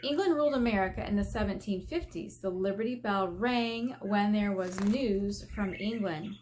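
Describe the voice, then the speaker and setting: A woman teacher reading aloud to children in an expressive way, asking questions and drawing out key words for emphasis. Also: mid-range voice, normal speed. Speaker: elementary school teacher. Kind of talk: read-aloud lesson for children. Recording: faint background noise.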